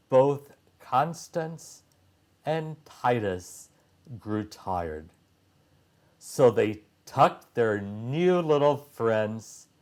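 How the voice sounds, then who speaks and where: A man reading a children's story aloud as a narrator, phrasing deliberately with frequent pauses. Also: medium pitch, a little mellow.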